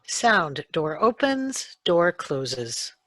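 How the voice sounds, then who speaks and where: A woman's voice: on the low side, sing-song, very slow, a bit bright, no echo. A woman reading out radio-play sound effect cues in short, clipped announcements.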